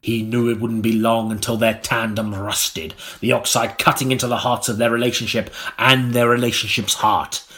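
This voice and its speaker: A man narrates a gothic tale in a mock-serious audiobook style. His delivery is deliberate and melodramatic, and his voice sits at an average male pitch. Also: level tone, pushed and bright. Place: recording studio.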